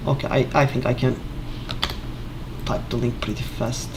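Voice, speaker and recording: A man casually thinking aloud in an office while fixing software, halting with many filler sounds. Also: medium pitch, little pitch movement, creaky, heavy background noise. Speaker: Linux developer screencasting.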